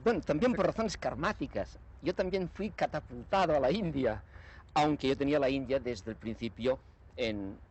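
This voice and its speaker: A man from Spain in his sixties, speaking in a lively, emphatic way and often restarting his sentences in a televised conversation. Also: mid-range voice, normal speed. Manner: lively, emphatic, repeats himself when starting a sentence. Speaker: guest scholar. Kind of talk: conversational discussion.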